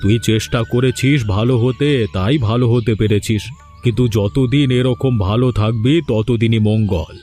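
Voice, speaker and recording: A man's voice: medium pitch, moderate pace, mellow, faint music behind, natural voice. Man playing a self-important, kindly village landlord. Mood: patronizing approval. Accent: West Bengal. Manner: patronizing, approving, declamatory. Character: benevolent, self-important village landlord who sits in judgement. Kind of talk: cartoon voice acting.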